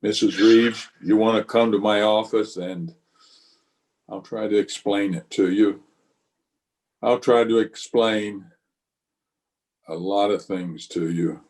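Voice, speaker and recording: A man plays a steadfast Old West marshal in a radio drama. His voice is fairly low and very slow, with a grave, measured and courteous manner, calm and a little weary. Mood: gentle, resigned. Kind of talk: old-time radio western acting.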